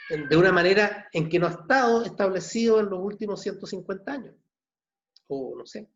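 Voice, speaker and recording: A man in his sixties from Chile with a Chilean accent, a novelist answering questions at an online book launch, halting and repeating words. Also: sits high, animated, a little hiss or hum. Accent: Chilean Spanish. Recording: dull muffled video call audio.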